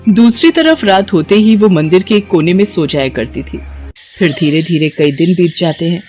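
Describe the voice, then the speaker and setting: A woman's voice: on the low side, animated, normal speed, a little mellow. A woman narrating a scripted moral tale in a dramatic storytelling style. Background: background music.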